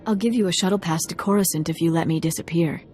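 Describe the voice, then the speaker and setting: A woman's voice: on the low side, quick pace, a little mellow, soft background music, close to an everyday voice. An actress playing a scheming, persuasive female informant in a video game, calculating as she bargains. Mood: urgent bargaining.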